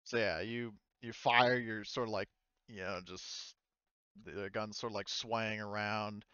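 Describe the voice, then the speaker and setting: A man's voice: on the low side, fairly even intonation, unhurried, sharp tone, clean tone, no room sound, muffled recording. A man working as game master, talking casually through rules and scene narration in an informal way.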